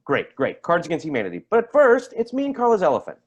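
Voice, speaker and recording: A man's voice: fairly high pitch, wildly swooping pitch, dull audio. Man acting a sarcastic, wildly swinging needler. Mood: pointed, mock-cheerful. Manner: halting, repeats words when needling. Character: bitter, sarcastic man feuding with his sister's partner. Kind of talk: staged play reading.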